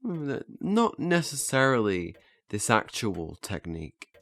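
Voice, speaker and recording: Hesitant, stammering, slow-talking earnest man played by an actor. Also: mid-range voice, sing-song, fairly bright tone, dry recording. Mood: earnest, explaining. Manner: hesitant, stammering, restarts phrases. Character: earnest, flustered male learner eager to master memory techniques. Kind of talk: naturalistic audio drama dialogue.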